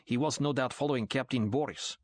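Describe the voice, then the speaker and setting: A man voicing a stiff male guard giving a rapid, clipped report to his master. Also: medium pitch, no echo, close to an everyday voice. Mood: dutiful.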